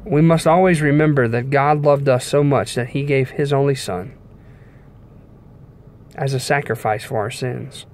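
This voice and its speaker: A young man giving an informal devotional sermon in an earnest, measured way, pausing between short phrases. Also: medium pitch, a little mellow. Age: young adult. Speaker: preacher giving a devotional message. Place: inside a car. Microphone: phone at arm's length. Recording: clear background noise inside a car.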